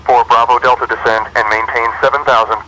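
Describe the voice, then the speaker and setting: A man's voice: medium pitch, level tone, fairly quick. A synthetic man's voice playing an air traffic controller, giving clipped, formal, routine instructions over a radio. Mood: businesslike, routine.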